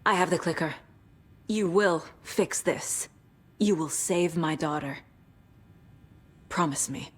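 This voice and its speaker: A woman's voice: on the low side, takes it slowly, long pauses, breathy edge. An actress playing a determined FBI agent and fiercely protective mother in a video game, speaking tersely and intensely. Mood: angry, demanding. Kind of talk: dramatic game acting.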